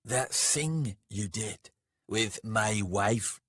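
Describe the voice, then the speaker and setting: Man doing a husky, blunt big brawler. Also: on the low side, extremely slow, a bit bright, no room sound, clean studio sound, exaggerated voice. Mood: bitter, menacing. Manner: blunt, sweary, goading. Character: big, fierce, scowling male brawler, blunt and foul-mouthed.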